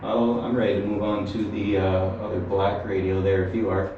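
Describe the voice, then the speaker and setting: A man's voice: fairly low pitch, little pitch movement, moderate pace, lo-fi sound. A man narrating a casual radio field test in a plain, matter-of-fact way, recorded inside a small metal tower. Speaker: reviewer testing walkie-talkie radios. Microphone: action camera. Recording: roomy echo, distant mic, faint noise.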